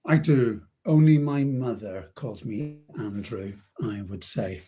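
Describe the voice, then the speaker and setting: A man's voice: mid-range voice, slow, mellow. A middle-aged man from Belfast with a Northern Irish accent that shifts at times, talking conversationally as a guest in an understated, self-deprecating way. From Belfast, Northern Ireland. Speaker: guest, university business school head. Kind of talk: conversational interview.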